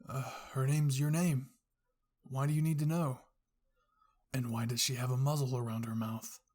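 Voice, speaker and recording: A narrator voicing an earnest, excitable young male scout in an anime story reading. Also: medium pitch, normal speed, lightly acted. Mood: awkward.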